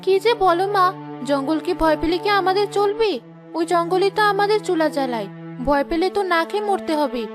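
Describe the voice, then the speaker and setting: A very high-voiced young village daughter. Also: female voice, lively intonation, a little fast. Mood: reassuring. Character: young village daughter, brave, reassuring wood gatherer. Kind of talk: Bengali fairy-tale cartoon dialogue. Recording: music behind the voice.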